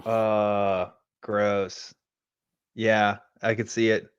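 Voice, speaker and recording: A man's voice: lowish voice, flat delivery, very slow, clear, resonant tone, no room sound. A man giving casual, informal commentary as a co-host, hedging often and peppering his talk with "like" and "right?". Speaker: show co-host. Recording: dull, band-limited dry audio.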